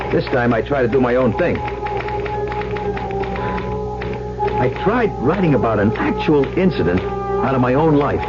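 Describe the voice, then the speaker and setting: An actor playing a cynical, slangy, money-hungry gambler poet who tells his own story in an old-time radio drama. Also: mid-range voice. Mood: weary, bitter. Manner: wisecracking, slangy, hard-boiled. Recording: muffled old radio recording.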